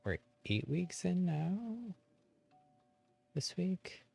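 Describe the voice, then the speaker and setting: A man chatting casually on a game livestream, thinking aloud in a halting way. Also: high-pitched, big pitch swings, creaky, mellow, husky, soft background music. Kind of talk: casual live gaming chat.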